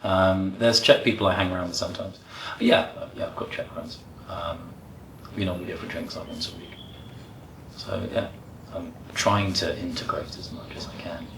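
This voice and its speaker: A man's voice: deep voice, fairly even intonation, normal speed, background noise. A man chatting casually in an interview, with a hesitant, wry manner. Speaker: interviewee in a documentary. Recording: echoey, distant microphone.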